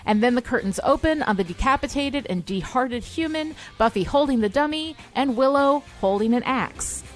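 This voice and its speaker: A woman's voice: sits high, expressive, moderate pace. A woman podcast host with a wry manner, moving between scripted recap and casual chat.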